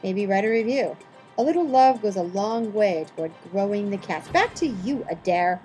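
A woman's voice: mid-range voice, expressive, moderate pace, warm tone, faint music behind, poor audio. A woman co-hosting a podcast, running segments and reading a recap in a mock-dramatic, theatrical way. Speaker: podcast co-host.

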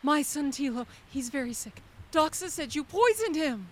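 A woman's voice: sits high, very animated, normal speed, a little hiss or hum. An actress playing a desperate, pleading village mother in an audio drama.

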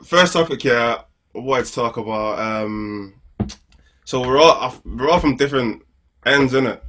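A man's voice: medium pitch, a little slow, a bit bright, slight background noise. Laid-back young co-host, man. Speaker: podcast co-host. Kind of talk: casual chat.

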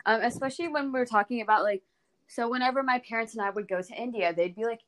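A woman's voice: a little high, fast, a bit bright. A young woman from the United States with an American accent, sharing personal anecdotes informally and explaining as she goes in a group discussion.

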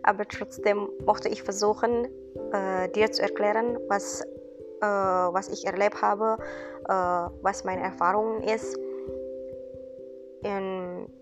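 A young woman from Indonesia speaking German with an Indonesian accent, giving an earnest, encouraging podcast monologue in halting non-native phrasing. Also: mid-range voice, level tone. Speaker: podcast host, student. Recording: music bed behind voice.

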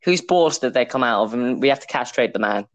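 Young man, podcast host, teasing, jokey, middling pitch, dry close mic. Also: animated, fairly quick, no echo. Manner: teasing, joking, laughs often. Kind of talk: casual chat.

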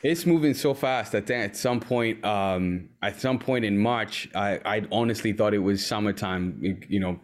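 A young man from Uganda, a musician guest, talking casually in an interview with many pauses and fillers. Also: fairly low pitch. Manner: easygoing, rambling, frequent um and uh.

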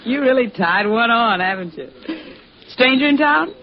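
Woman acting a sly, lively barroom flirt. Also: on the high side, moderate pace, lightly acted. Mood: teasing. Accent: American. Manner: teasing, sly, slangy. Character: flirtatious, worldly barroom woman, coolly after money. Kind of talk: 1940s-50s American radio drama acting. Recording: muffled, hissy old radio recording.

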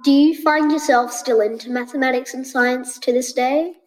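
A woman's voice: sits high, steady tone, moderate pace, in a large hall, rough recording. A teenage girl, a student interviewer asking prepared questions politely and formally.

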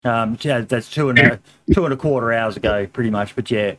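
A middle-aged man hosting a casual podcast, his voice a little hoarse, easygoing and full of ums and "mate". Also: mid-range voice, fairly quick, crowd noise. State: slightly hoarse. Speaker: podcast host.

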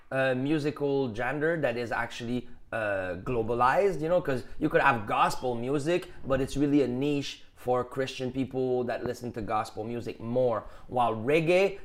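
A man from Quebec, a rapper with a Quebec French accent, talking in an interview and explaining at length in long, thoughtful runs. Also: mid-range voice. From Quebec, Canada. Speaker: rapper and musician guest. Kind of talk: interview conversation.

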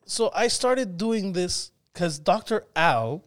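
High-voiced animated man chatting. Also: takes it slowly. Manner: animated, swearing, mimics accented phrases. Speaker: podcast co-host. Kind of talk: casual chat.

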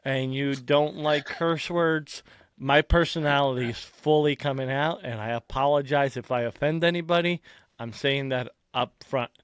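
A man's voice: mid-range voice, fairly even intonation, normal speed, clear, resonant tone, dry recording. A man hosting a casual podcast, talking loosely with lots of "uh" and "um" as he reads out sponsors and giveaways. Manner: loose, rambling, lots of filler words. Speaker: podcast host.

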